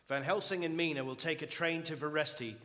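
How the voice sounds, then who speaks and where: A man playing a resolute young gentleman vampire hunter, earnest and purposeful in a live radio drama. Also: on the high side, brisk. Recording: reverberant stage hall, faint noise.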